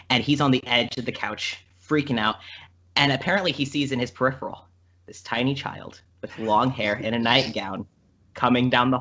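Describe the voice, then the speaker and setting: A man chatting casually as a podcast guest, telling stories in a rambling, animated way and often interrupting himself. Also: medium pitch. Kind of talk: casual chat, storytelling. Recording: dull lo-fi podcast audio.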